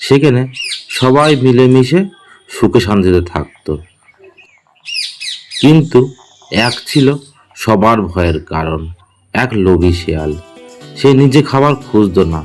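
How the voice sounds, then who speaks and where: A man's voice: mid-range voice, animated, unhurried, pauses often. A man telling a scripted children's fable as its narrator. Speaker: storyteller, narrator. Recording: music behind the voice.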